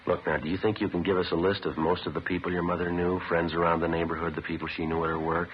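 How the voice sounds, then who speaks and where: An actor playing a calm, businesslike police detective in a 1950s radio drama, deadpan and matter-of-fact. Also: male voice, low voice, quick pace, a little hiss or hum, close to an everyday voice. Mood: gently questioning. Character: calm, businesslike male police detective, narrating his case. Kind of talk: 1950s radio drama acting, clipped procedural delivery. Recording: muffled 1950s radio recording with music.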